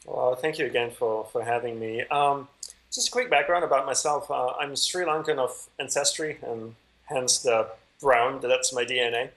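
A man from Malaysia, a podcast guest over a video call, answering questions in calm, measured storytelling. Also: medium pitch, rough recording. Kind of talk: conversational interview answers.

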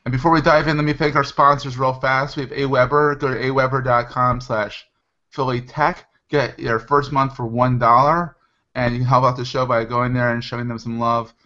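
A man with a bright, punchy voice at a medium pitch hosts a casual interview show. He is chatty and informal and quick to poke fun at himself. The recording sounds slightly dull and lo-fi. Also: level tone. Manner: informal, chatty, self-deprecating. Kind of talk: casual hosting with ad-libbed sponsor reads.

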